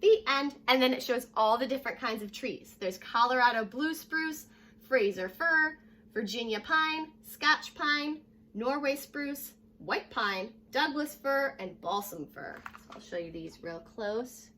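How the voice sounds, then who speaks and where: A young American woman reading a children's story aloud like a teacher, addressing young listeners directly in a lively way. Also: on the high side, unhurried. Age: young adult. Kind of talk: children's read-aloud with short asides. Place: living room at home, indoors.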